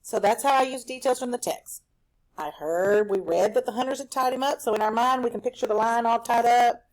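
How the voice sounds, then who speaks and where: A woman teaching a recorded reading lesson for children at home, explaining a story in an animated, explanatory way. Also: on the high side, brisk, low-quality recording.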